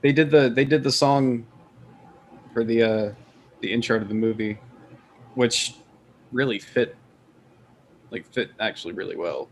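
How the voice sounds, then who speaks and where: A man with a medium-pitched voice talks casually on a group podcast. He rambles through his opinions in an informal way and swears offhandedly. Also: measured pace, pauses often, a little hiss or hum. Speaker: podcast panelist.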